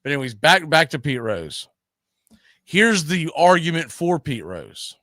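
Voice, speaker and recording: A man's voice: a little high, very animated, unhurried, bright, forceful tone, no room sound, studio quality. A man hosting a sports talk show, telling baseball history with an emphatic, storytelling delivery.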